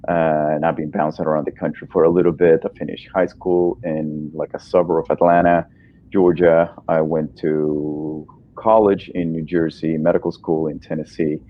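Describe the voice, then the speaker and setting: A man's voice: extremely low pitch, flat delivery, moderate pace, narrow, tinny audio. A man in his thirties from El Salvador, a native Spanish speaker, answering interview questions in a casual, friendly, informal way. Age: 30s. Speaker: guest, breast cancer surgeon.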